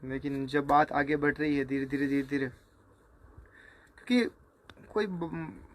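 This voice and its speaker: Higher-voiced man in an informal video response. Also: unhurried. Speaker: singer.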